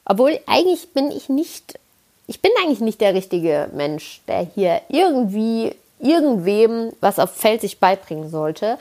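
Chatty young woman, slight Palatinate lilt. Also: mid-range voice. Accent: slight Palatinate German. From Palatinate, Germany. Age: 20s. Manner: chatty and self-ironic, rambling with many restarts. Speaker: podcast host. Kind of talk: casual podcast monologue. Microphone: podcast microphone.

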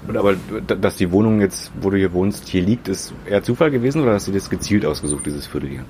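Deep-voiced, fast-talking man outdoors. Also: expressive. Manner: joking, teasing banter. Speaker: interviewer and vlog host. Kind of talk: casual street interview. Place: outdoors in a residential area. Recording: loud outdoor street noise.

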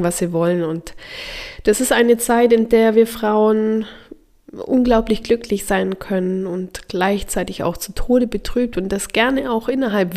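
A woman's voice: medium pitch, darkish tone. A woman hosting a podcast as a childbirth coach, talking informally to expectant mothers, slightly nasal from a mild head cold, with frequent hesitations.

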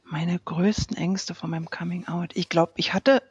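A woman's voice: fairly low pitch, steady tone, normal speed, husky, no echo. A woman in her late thirties talks casually as a podcast guest. She feels her way hesitantly through her answers, searching for words. The sound is dry and a little muffled. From Berlin, Germany. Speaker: podcast guest, youth welfare coordinator. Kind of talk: casual personal interview answers.

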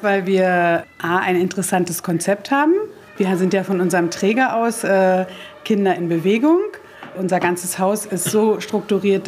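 A woman's voice: medium pitch, darkish tone, slight background noise. Veteran woman daycare director, rambling. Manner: rambling, self-correcting, wry. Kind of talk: interview answers. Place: daycare centre.

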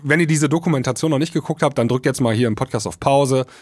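A man's voice: mid-range voice, fast, a little mellow, dry recording, clean studio sound. A man with a German accent co-hosting a casual podcast discussion, explaining things and often seeking agreement with little tag questions. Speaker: podcast co-host.

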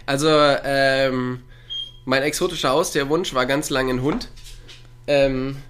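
A man's voice: medium pitch, steady tone, a little slow, a bit bright. Male co-host, casual, chuckling, rambling with fillers, home call. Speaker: podcast co-host. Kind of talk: casual chat. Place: at home, with a dog nearby.

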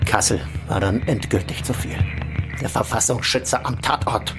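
Actor as self-justifying German intelligence official, music behind. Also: male voice, medium pitch, moderate pace, whispered, close to an everyday voice. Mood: grim, aggrieved. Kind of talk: political radio drama dialogue.

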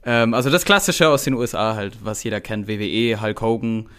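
A man's voice: lowish voice, a little fast, clear, resonant tone. Chatty male guest from Bavaria. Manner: relaxed, chatty with frequent fillers. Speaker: interview guest. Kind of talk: casual interview chat.

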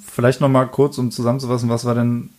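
A man's voice: medium pitch, little pitch movement, quick pace, darkish tone. Affirming male interviewer. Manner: affirming, sums up answers, asks follow-ups. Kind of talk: interview questions.

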